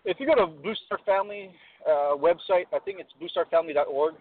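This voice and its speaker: A man's voice: fairly high pitch, fast talker, dry recording. A man co-hosting a casual radio chat over a phone line, with a jokey way of talking. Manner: jokey, acts out quotes. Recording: dry, tinny phone line.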